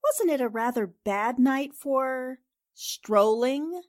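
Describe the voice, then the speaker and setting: Woman acting high-voiced inquisitive girl reporter. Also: animated, slow, dry recording, studio quality. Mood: probing. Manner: pointed, inquisitive. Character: sharp, inquisitive female newspaper reporter. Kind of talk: 1940s-style radio drama acting.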